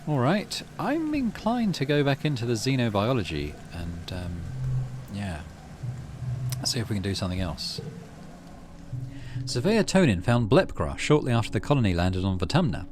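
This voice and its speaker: A man doing casual, low-key game commentary and reading story text aloud as he plays. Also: sing-song, moderate pace, a little mellow, a little hiss or hum. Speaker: gaming channel host. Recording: music behind the voice. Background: game music.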